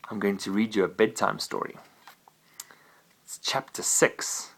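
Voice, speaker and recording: A father reading a bedtime story aloud to his child, gentle and animated. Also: on the low side, measured pace, creaky, slightly rough, in a whisper, rough recording.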